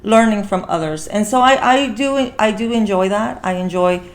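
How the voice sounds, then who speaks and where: A woman's voice: medium pitch, moderate pace. A woman hosting a casual talk show, discussing things in an earnest, meandering, conversational way. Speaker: podcast host.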